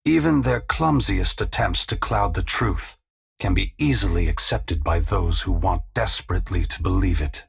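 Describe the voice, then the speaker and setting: A man voicing an ancient, solemn and resolute god in a video game, speaking in a gravelly, husky, grave and measured oratorical way. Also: low voice. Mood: solemn conviction. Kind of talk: scripted dramatic game dialogue.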